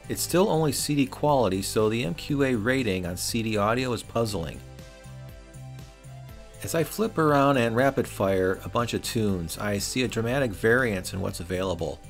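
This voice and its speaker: A middle-aged man reads a scripted product review voice-over in a wry, opinionated way. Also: lowish voice. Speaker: reviewer and host.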